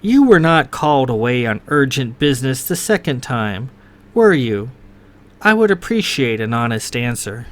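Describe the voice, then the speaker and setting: A man's voice: mid-range voice, big pitch swings, normal speed, lightly acted. A man voicing a proud, cold young noblewoman who speaks in a formal, stern, clipped way.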